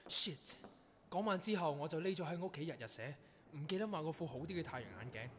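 A man's voice: fairly high pitch, fast, slight background noise, natural voice. Man playing a weary, grumbling young composer, lightish voice. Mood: brooding. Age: young adult. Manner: grumbling, dry, sighing. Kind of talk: Cantonese radio drama acting.

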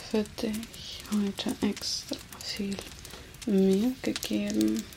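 A woman voicing a teasing, menacing female vampire captor in a soft, slow ASMR whisper. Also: medium pitch, little pitch movement, creaky. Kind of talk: ASMR roleplay. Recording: noisy hiss under close whisper.

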